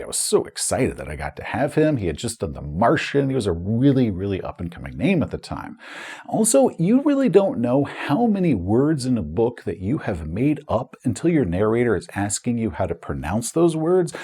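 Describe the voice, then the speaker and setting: Husky, animated male storyteller. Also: medium pitch. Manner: conversational storytelling with frequent asides. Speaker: novelist and channel host. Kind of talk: personal retrospective monologue.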